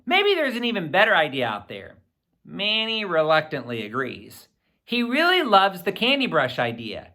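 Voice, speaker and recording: A man reading a children's novel aloud in the first person, with expressive, theatrical phrasing aimed at young listeners. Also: high-pitched, moderate pace. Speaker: audiobook reader.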